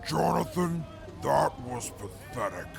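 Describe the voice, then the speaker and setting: Haughty deadpan male snob acted by a man, very gravelly, unhurried, lively pitch; formal audio drama delivery. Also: over music, full character voice. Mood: disdainful. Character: haughty, stern, scheming male companion with formal speech. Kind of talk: post-apocalyptic audio drama acting.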